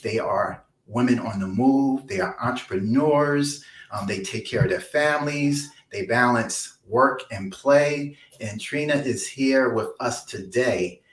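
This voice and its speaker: A man hosting an interview, introducing his guest effusively with many pauses and repeated phrases. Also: medium pitch. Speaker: interview host. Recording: echoey room.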